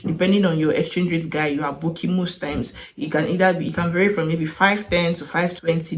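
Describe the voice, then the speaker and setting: A young woman with a Nigerian accent talks through her travel story in a relaxed vlog. Her voice sits a little low for a woman. She muses aloud, asks herself questions and laughs briefly now and then. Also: poor audio. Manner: chatty, wondering aloud, with short laughs. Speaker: vlogger.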